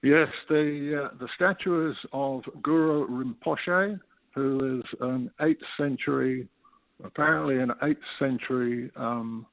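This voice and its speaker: Gravelly older Englishman on a phone line. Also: mid-range voice, dry recording. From United Kingdom. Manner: steady, explanatory, hesitant fillers. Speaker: guest, local resident. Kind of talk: phone interview.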